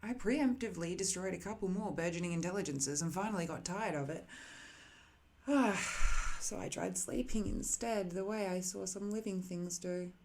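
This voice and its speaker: A woman voicing an ancient cursed living weapon, a soft-spoken, wistful villain who muses hesitantly in an intimate ASMR roleplay, sometimes dipping toward a whisper. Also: lowish voice, moderate pace. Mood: brooding, matter-of-fact.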